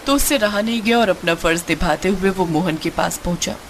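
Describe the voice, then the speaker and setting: A woman's voice: on the low side, fairly quick, slight background noise. A woman narrator reading a scripted cartoon story.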